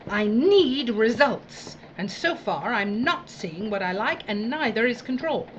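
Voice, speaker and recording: A woman playing an imperious, disdainful overseer who demands results, brisk and haughty, in an audio drama. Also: on the high side, animated, muffled recording, a little hiss or hum. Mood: disdainful, demanding. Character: haughty, demanding female boss from Control.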